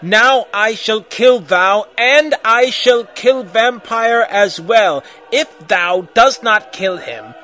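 Dark wizard villain for a story reading, acted by a man: raspy, menacing, archaic, commanding, lively pitch. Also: slow pace, hardly any pauses, heavily acted. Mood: menacing threats.

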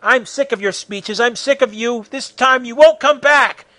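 Guy doing a cocky hot-headed anime fighter, raised voice, high pitched, taunting like in a fan story. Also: animated, brisk, breathy edge, dry recording, full character voice. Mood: fired up. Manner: taunting, shouting attack names. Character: cocky, hot-headed male anime martial arts hero.

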